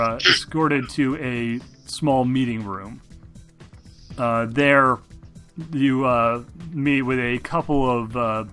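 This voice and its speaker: A man acting as game master, narrating and ruling on a tabletop game in halting, improvised phrases. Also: mid-range voice, pushed and bright. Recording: music mixed behind voice.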